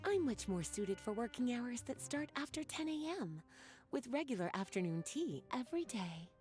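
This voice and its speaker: Languid, teasing actress as video game librarian, lively pitch. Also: female voice, moderate pace, soft background music, lightly acted. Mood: leisurely, teasing. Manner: teasing, leisurely, coy. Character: languid, flirtatious, worldly woman librarian on vacation. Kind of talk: video game dialogue acting.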